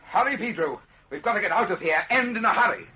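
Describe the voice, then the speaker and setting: An actor playing a villainous mastermind who poses as a respectable scientist, smug, pompous and commanding, in an old radio drama. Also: male voice, high voice, animated, quick pace, bright, forceful tone, a little hiss or hum, low-quality recording. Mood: urgent.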